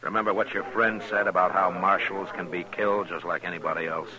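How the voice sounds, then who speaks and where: A man's voice: deep voice, flat delivery, a little fast, a crowd in the background, natural voice, poor audio. An actor playing a terse, unflappable lawman in a 1950s radio western. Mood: grave warning. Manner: terse, level, commanding. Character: stern, unflappable frontier marshal, a grown man.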